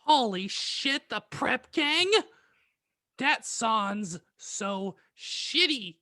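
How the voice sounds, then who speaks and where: An angsty, foul-mouthed goth teenage girl, put on by a woman for a comic fan-fiction reading. She is melodramatic and very slow, with a highish, lively pitch. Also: no echo, studio quality, exaggerated voice. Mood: indignant.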